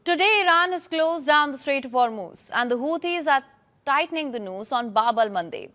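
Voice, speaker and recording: High-voiced woman narrating dramatically. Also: lively intonation, moderate pace, pushed and bright. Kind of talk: scripted documentary narration.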